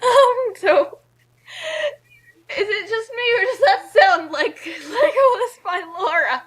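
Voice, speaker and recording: A woman's voice: extremely high pitch, animated, slow, a bit bright. A woman co-reading and commenting in casual, joking chat. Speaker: co-reader and commentator.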